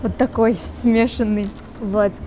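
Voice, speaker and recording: A young woman singer answering interview questions in a relaxed, chatty way, with many fillers. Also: a little high, takes it slowly. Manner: relaxed, chatty, switches between Russian and Tatar, many fillers. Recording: noisy background with traffic.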